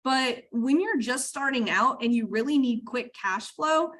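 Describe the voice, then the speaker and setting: Woman, coach hosting interview, enthusiastic, quick bursts, fillers, fairly high pitch. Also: lively intonation, fairly bright tone. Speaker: business coach, host. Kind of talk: interview chat.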